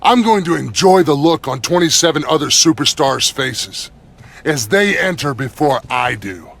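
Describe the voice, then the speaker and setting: An actor playing a boastful, self-satisfied pro wrestler in a video game, with a smug manner. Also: male voice, fairly high pitch, lively intonation, normal speed, vocal fry, fairly bright tone, heavily acted. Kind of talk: video game cutscene acting.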